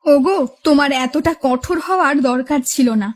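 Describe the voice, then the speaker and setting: A woman plays a soft-hearted cartoon wife from a village, gently scolding. Her voice is extremely high in pitch. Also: normal speed, natural voice. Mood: gently reproachful. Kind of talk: Bengali cartoon voice acting.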